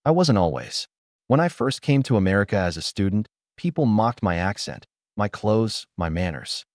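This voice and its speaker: Calm dignified rich mentor guy, man reading it, slow and steady. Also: fairly low pitch, no echo, studio quality, natural voice. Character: calm, composed male billionaire mentor.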